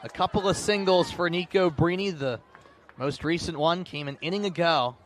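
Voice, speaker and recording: A man with a fairly high voice calls a baseball game for radio in short, descriptive phrases. Faint outdoor ballpark noise sits behind him. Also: normal speed. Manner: clipped, descriptive calling that swells on big plays. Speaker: baseball play-by-play announcer. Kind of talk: live radio sports commentary. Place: outdoor ballpark broadcast position.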